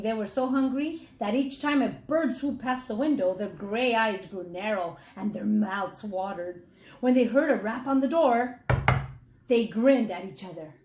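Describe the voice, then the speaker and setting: A woman reading a children's story aloud as a teacher, speaking expressively and putting questions straight to young listeners. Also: on the high side. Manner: expressive, addresses children directly. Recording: echoey room sound.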